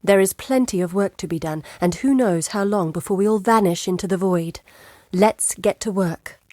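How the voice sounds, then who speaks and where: A woman's voice: mid-range voice, normal speed, mellow, no room sound, natural voice. Woman acting a female exiled scholar, video game dialogue, mid-range voice, earnest, guarded, carefully articulated, close dry recording. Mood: resolute, concerned. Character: educated, curious, guarded young female map-maker in exile. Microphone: close studio mic.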